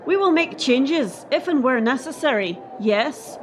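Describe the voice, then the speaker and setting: A woman's voice: sits high, animated, a little slow, a little hiss or hum. A woman playing a defensive, steely head of gymnastics in a radio play, speaking in a firm, clipped, official way. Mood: guarded, firm.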